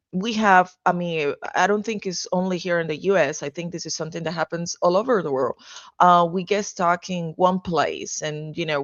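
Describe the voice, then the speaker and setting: A woman's voice: on the low side, no echo, dull audio. A woman from Venezuela, a realtor and author, answering interview questions casually over a video call with lots of fillers and restarts. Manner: hesitant, many fillers and restarts, laughs easily.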